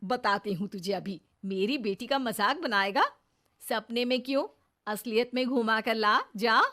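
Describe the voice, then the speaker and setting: A woman's voice: fairly high pitch, measured pace, bright, forceful tone. A woman voicing a kind, protective mother-in-law who talks in a caring, easygoing way. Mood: playful scolding. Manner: reassuring, caring.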